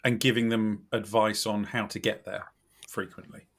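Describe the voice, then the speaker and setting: A British man with a slightly scratchy, croaky voice, chatting casually as a podcast guest in a wry, self-deprecating, animated way. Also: on the low side, moderate pace. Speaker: podcast guest, recruitment company director. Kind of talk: casual chat.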